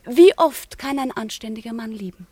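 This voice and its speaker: A woman's voice: on the high side, lively intonation, moderate pace, darkish tone, breathy edge, no room sound. A woman acting an earnest, proud young noblewoman in a radio play, questioning in a composed, direct way. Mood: earnest probing. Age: young adult.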